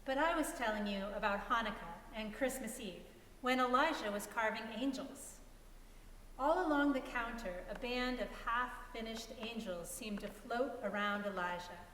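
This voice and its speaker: A young boy read by a woman narrates a storybook in measured, earnest phrases. The voice is slightly high. It rings in an echoey hall over faint noise. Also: fairly bright tone. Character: young boy recounting his friendship with an old carver. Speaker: reader in a dramatic story reading. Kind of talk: dramatic storybook reading.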